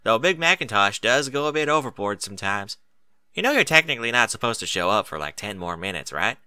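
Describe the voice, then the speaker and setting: A man's voice: on the low side, sing-song, fairly quick, bright, forceful tone, clear, resonant tone, no echo, heavily acted. A man voicing a plain-spoken, hospitable female farm pony with a folksy, teasing manner in a story reading. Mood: easygoing.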